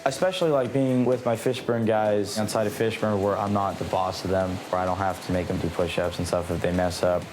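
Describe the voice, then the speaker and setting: A man's voice: deep voice, level tone, a little fast, hardly any pauses, poor audio. A teenage young man who leads fellow cadets at a military academy, talking casually in an interview. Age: teens. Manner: casual, loose with fillers. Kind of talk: documentary interview. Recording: music bed under interview. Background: music.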